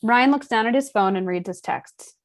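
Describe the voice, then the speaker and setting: A woman's voice: a little high, moderate pace. A woman narrating the stage directions of a script at a table read.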